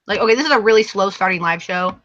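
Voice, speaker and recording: Woman on dull lo-fi audio, bright voice, slightly worn. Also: mid-range voice, quick pace. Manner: hesitant, rambling, self-correcting. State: losing her voice, tired. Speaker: book vlogger co-hosting a live show. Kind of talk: casual book chat.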